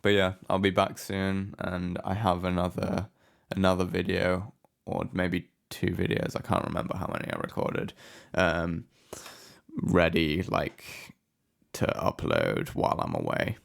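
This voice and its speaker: A man giving a casual software tutorial in a hesitant, offhand, unscripted way. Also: sits low, unhurried, gravelly. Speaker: music production tutorial host. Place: indoor room with a window.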